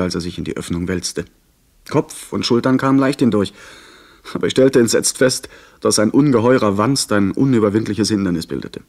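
Low-voiced adventure narrator. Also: male voice, darkish tone. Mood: tense, disappointed. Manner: literary, measured storytelling. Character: young master recounting his pirate adventure, earnest. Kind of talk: scripted radio play narration.

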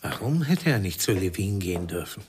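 A man's voice: sits low, big pitch swings, brisk, background noise. An actor playing a cool, knowing, menacing man in a radio crime drama, probing and insinuating.

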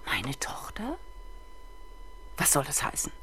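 A woman's voice: low-pitched, huge pitch swings, takes it slowly, fairly bright tone, in a whisper, exaggerated voice. A woman playing a proud middle-aged mother-in-law facing police questions in a 1970s German radio crime play. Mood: indignant, wounded. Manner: chatty, then sharply indignant.

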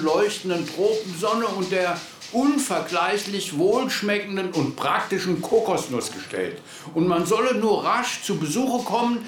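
A man's voice: high-pitched, fairly bright tone. An actor playing a man who declaims in a florid manner in a German radio play. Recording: roomy, distant, faint noise.

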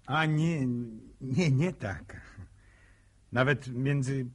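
Young villager, radio drama, rough-edged husky voice, bashful, halting, earnest. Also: male voice, mid-range voice. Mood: earnest, curious. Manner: hesitant, stammering, plain-spoken folksy speech. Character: shy, curious young farmer, unschooled and earnest. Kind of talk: naturalistic radio drama acting.

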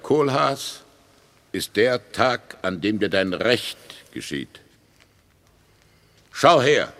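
A man's voice: medium pitch, a bit bright, heavily acted. A man in a radio play acting a solemn, magnanimous prince who pronounces judgment with stately, ceremonious weight. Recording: long reverberant hall.

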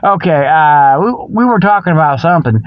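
A man hosting a comedy radio show, rambling folksily through mock sales pitches in a scatterbrained way and often losing his thread. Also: animated, brisk, dry recording. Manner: scatterbrained, loses his thread, chuckles at his own jokes; folksy, colloquial, checks in with "okay". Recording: dull lo-fi radio sound.

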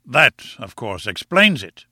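A man plays an arrogant professor from a classic storybook, insolent and domineering. He booms as if lecturing a class, condescending in every phrase. The voice is forceful and bright, in a dry, close recording. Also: very animated, slow, breathy edge, no room sound, heavily acted. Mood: pompous lecturing. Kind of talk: audiobook character dialogue.

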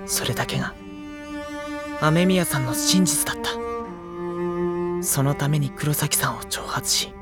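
Earnest boy narrator over loud strings, acted. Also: on the high side, measured pace, long pauses, natural voice. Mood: solemn, impassioned. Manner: solemn, emotional, earnest. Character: sensitive teenage boy narrator, sorrowful, tender, earnest. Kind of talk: literary first-person audio drama narration. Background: cello and bowed string music.

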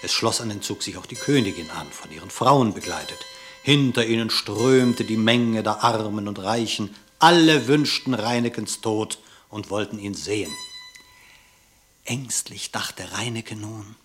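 A man serves as narrator of a radio play in verse. His lowish voice tells the story in a measured, dramatic way, shaping each line as scripted literary narration. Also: fairly bright tone, faint music behind.

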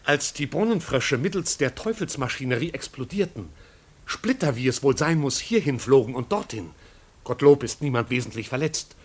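An actor playing a pompous, flustered but loyal manservant in a 1950s German radio drama, with ceremonious, convoluted phrasing. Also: male voice, mid-range voice, lively intonation, normal speed, breathy edge. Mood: agitated reporting.

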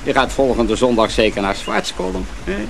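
A man's voice: mid-range voice, expressive, normal speed, slight background noise, close to an everyday voice. A man acting in a radio drama as a sly, domineering master miller with a probing, threatening authority.

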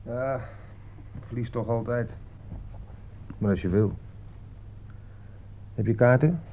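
An actor playing a grumbling, resigned soldier in a radio play. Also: male voice, mid-range voice, takes it slowly, natural voice. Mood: glum. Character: grumbling, hungry male soldier in a dugout. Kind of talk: radio drama dialogue. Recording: tinny, hissy old radio recording.

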